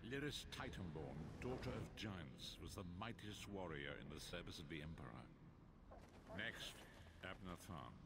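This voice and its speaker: Fantasy game seer: elderly, low, grave and theatrical, over clearly audible ambient noise. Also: male voice. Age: older. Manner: solemn, theatrical storytelling. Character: old blind male seer, grave and theatrical prophet. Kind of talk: scripted fantasy game narration. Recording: noisy game capture, ambient sound behind.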